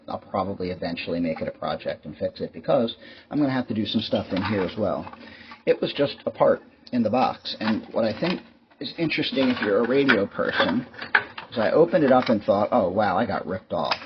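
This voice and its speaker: A man talks casually through a product on his video channel, in a rambling and resigned tone with dry humor. His voice sits a little low. The recording is dull, with noticeable noise behind him. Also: a little fast. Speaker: YouTube host. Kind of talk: casual product show-and-tell vlog. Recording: muffled lo-fi mic with clear background noise.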